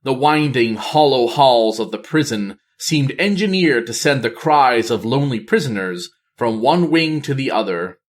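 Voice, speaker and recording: A man reading a fantasy novel aloud as scripted narration, in a measured, theatrical manner. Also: medium pitch, animated. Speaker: audiobook reader.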